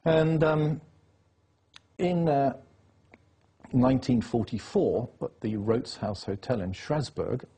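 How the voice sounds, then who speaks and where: A man's voice: medium pitch, slow pace, pauses often, warm tone, breathy edge. A man being interviewed, laying out his case insistently and reeling off dates and facts. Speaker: interview guest. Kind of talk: political interview.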